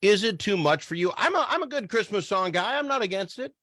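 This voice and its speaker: A man hosting a radio talk show, bantering in an animated, teasing, mock-pleading manner. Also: high-pitched, a little fast, no echo, clean studio sound.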